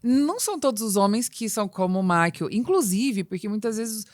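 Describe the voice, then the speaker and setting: A woman's voice: mid-range voice, animated, fairly quick. A woman with a Brazilian Portuguese accent, interviewing guests conversationally as a podcast host.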